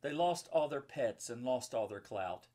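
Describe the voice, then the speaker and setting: A man's voice: mid-range voice, animated, a little slow, warm tone. Male, 50s, pastor type with a mid-range voice, reading and explaining simply for young listeners. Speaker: pastor and children's book author. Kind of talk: children's story reading with chatty asides. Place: indoor book-lined room at home or office.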